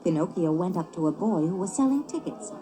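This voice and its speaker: A woman narrating a children's story as a book on tape, expressive and clearly paced. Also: lowish voice, brisk, soft background music.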